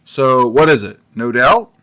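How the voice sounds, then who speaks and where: A man's voice: mid-range voice, lively intonation, a little slow, pushed and bright. A man playing an earnest, literal-minded detective dad who acts and narrates in an audio comedy. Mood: baffled, insistent. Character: wisecracking, easily confused detective dad.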